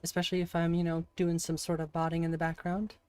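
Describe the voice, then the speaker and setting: Raspy-voiced streamer chatting with viewers. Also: medium pitch, completely flat delivery, fast, darkish tone, no echo, soft background music. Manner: chatty, reacts aloud and answers chat. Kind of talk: casual live-stream chat while gaming. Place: home room.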